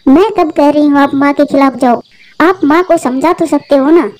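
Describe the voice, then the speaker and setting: A woman playing a gentle, earnest young mother bird in a Hindi cartoon. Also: high-pitched, normal speed, natural voice. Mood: pleading.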